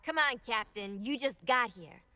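High, manic American supervillain girl. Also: animated, moderate pace, sharp tone, dry recording. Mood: mocking. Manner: sassy, mocking, theatrical. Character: brash, manic female supervillain prisoner, wisecracking and menacing. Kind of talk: video game cutscene acting.

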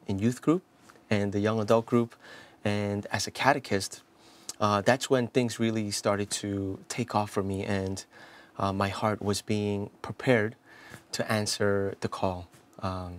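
A man in his thirties from South Korea, a priest telling his life story in a studio interview, earnest and full of restarts and "you know" fillers, on a lapel mic. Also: fairly low pitch. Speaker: priest guest.

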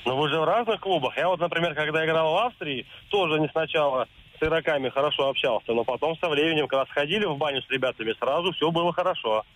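Stern veteran football coach played by a man, higher-pitched, rapid, combative and patronising, for a radio comedy sketch. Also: a bit bright, poor audio. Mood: lecturing. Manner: combative, lecturing, talking down. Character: stern, condescending veteran male national team head coach. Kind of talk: improvised comic radio sketch.

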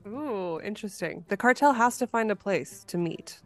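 A woman's voice: medium pitch, animated, normal speed, fairly bright tone, faint music behind. A woman hosting a casual podcast chat, thinking aloud informally. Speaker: podcast host.

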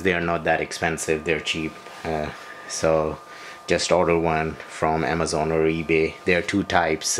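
Low-pitched man, slow halting explainer. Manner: halting, broken phrasing with frequent hesitations. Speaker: tutorial presenter. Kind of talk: hands-on equipment tutorial, unscripted explanation. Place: small bedroom, indoors. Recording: home video with noticeable background noise.

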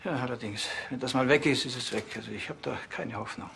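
An actor playing a formal, slightly hesitant museum director in a TV crime comedy. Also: male voice, mid-range voice, fast talker, creaky, background noise, lightly acted. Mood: polite, resigned. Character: polite, slightly flustered male museum director. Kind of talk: German TV crime comedy acting.

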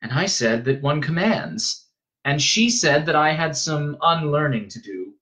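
A man's voice: fairly high pitch, expressive, measured pace, sharp tone, voice pushed up. A man voicing an earnest, troubled teenage boy of noble birth, questioning and a little hesitant.

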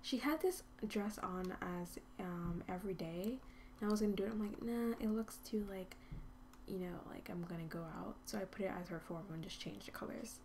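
A woman's voice: medium pitch, brisk, a little mellow, a little hiss or hum. A woman hosting a life-sim game video, chatting casually with lots of ums and dipping into whispers. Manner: chatty, rambling with many ums, often drops to a whisper. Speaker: gaming channel host. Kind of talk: casual let's-play commentary.

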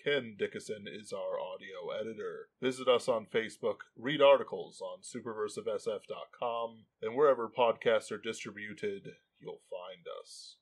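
A man's voice: on the low side, normal speed, few pauses. A man reading scripted podcast credits as an announcer.

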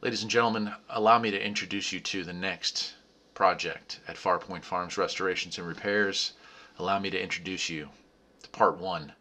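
A man's voice: sits low, fairly even intonation, normal speed. A man in his forties hosting a car restoration vlog, talking to camera outdoors and then narrating a personal story. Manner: upbeat on camera, then quiet storytelling voice-over. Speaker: restoration channel host. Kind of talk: vlog intro and reflective narration. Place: outdoors by a garage on gravel.

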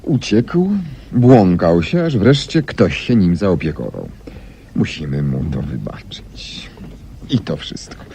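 A low, mellow man's voice as a self-assured master detective in a 1970s radio play. He lays out deductions theatrically, with big swings of pitch and deliberate pauses, on a slightly noisy old recording. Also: very animated, creaky, heavily acted. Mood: wry. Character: brilliant, self-assured male detective explaining his deductions. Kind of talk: 1970s Polish radio drama acting.

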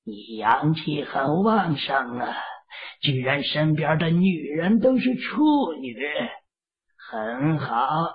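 A man voicing a lecherous, cruel middle-aged male Taoist villain who gloats with drawn-out, sneering menace in a read-aloud audio novel. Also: a little high, voice pushed up. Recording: phone-like, lo-fi, distant sound.

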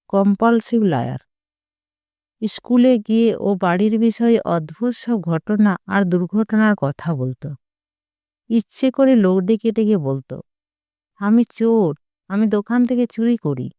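A woman reading a literary short story as a scripted narration, in a measured, deliberate storytelling manner. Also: medium pitch, dark tone, no room sound.

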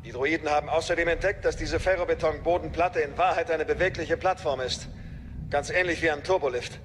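An actor playing a dutiful, businesslike soldier delivering crisp military reports in a radio drama. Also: male voice, fairly high pitch, fairly even intonation, fairly quick, slight background noise, natural voice. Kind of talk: German radio drama acting. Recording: long hall-like reverb.

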